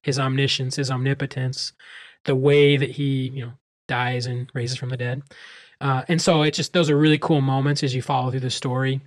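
A man's voice: medium pitch, level tone, moderate pace, no room sound. A man co-hosting a podcast, chatting casually about books and feeling his way through sentences with filler words. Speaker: podcast co-host.

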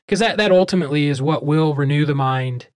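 A man with a voice a little higher than most men's hosts a podcast. He speaks conversationally, and the sound is fairly dry, with little room in it. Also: brisk, darkish tone, no echo. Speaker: podcast host.

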